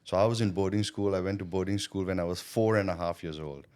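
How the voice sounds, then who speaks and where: A man's voice: low voice, fast talker, darkish tone. A middle-aged man from Thailand, a podcast guest, telling his story in a reflective, chatty way, often checking in with "you know" and "right?". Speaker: podcast guest, sales consultant. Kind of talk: casual interview storytelling.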